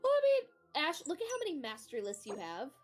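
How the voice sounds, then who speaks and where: A young woman with a very high voice chats casually while gaming. Her pitch swings around in an excitable, teasing way, and faint music plays behind her. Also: fast. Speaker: gaming friend on stream.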